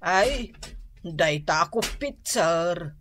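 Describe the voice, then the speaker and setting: Older Ilocano housewife, radio comedy: nagging, easily exasperated, unhurried delivery. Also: female voice, on the low side, expressive, fairly bright tone, a little hiss or hum, heavily acted. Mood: weary complaining.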